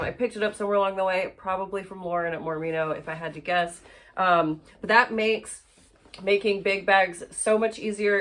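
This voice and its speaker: A woman's voice: on the low side, fairly bright tone. A woman teaching a sewing project in a casual, chatty way, a little congested and nasal from allergies, with frequent fillers and restarts. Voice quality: slightly nasal. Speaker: sewing instructor. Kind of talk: casual sewalong tutorial.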